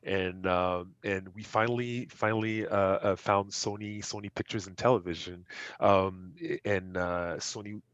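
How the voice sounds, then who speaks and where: Animated French Canadian man, lo-fi. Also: low voice, measured pace, no room sound. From French-speaking Canada. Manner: animated, many fillers and restarts, acts out quotes. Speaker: guest entrepreneur. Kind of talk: casual interview storytelling.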